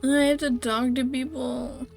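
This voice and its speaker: A woman's voice: high voice, level tone, a little slow, gravelly, a little mellow, soft background music. A woman streaming a game, giving casual commentary in dry, joking asides.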